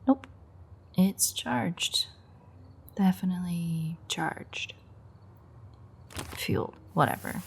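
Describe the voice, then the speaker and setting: A woman playing a sardonic, teasing female vampire mechanic in an audio roleplay, with dry sarcasm and a husky, gravelly, breathy delivery. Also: fairly low pitch, extremely slow, long pauses, in a whisper, exaggerated voice. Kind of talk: audio roleplay acting, intimate one-sided dialogue.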